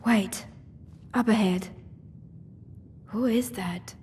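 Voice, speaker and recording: A woman's voice: medium pitch, drawn-out words, a little mellow, a little hiss or hum. A woman playing a practical, guarded adventuring companion in a video game, speaking casually and matter-of-factly. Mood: wary. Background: music.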